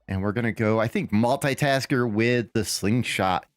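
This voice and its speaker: A man with a raspy voice, still getting over a lost voice, giving casual live commentary on a game he is playing and thinking aloud as he goes. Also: medium pitch, moderate pace, no room sound, studio quality, faint music behind. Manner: rambling, thinking aloud with fillers and restarts.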